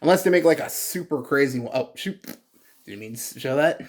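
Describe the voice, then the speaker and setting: A man's voice: mid-range voice, expressive, fairly quick, a little mellow. A man hosting his card game channel in a casual, rambling vlog. He is congested and stuffy, and he talks with many fillers and restarts. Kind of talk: casual deck profile vlog.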